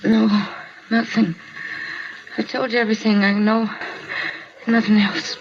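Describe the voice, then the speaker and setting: An actress playing a dazed, pained mugging victim in a 1950s radio drama, answering haltingly and repeating herself. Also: female voice, on the high side, level tone. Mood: weak, upset. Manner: halting, repetitive, confused short answers. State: in pain, weak. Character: dazed, hurting woman mugging victim, pleading. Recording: muffled, noisy 1950s radio recording.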